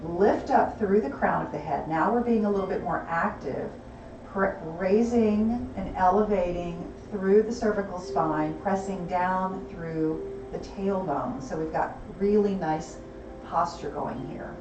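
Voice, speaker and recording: A woman teaching a gentle yoga class, calmly cueing breath and movement, her voice a little gravelly and hoarse. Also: on the low side, a little slow, highs cut off, background noise. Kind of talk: gentle guided yoga instruction. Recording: roomy, distant, music behind.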